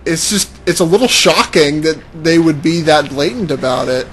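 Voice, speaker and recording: A man's voice: on the high side, animated, moderate pace, slight background noise, low-quality recording. A man co-hosting a podcast, chatting informally in short remarks while playing a video game. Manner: informal, brief conversational interjections. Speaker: podcast co-host. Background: faint music and game audio.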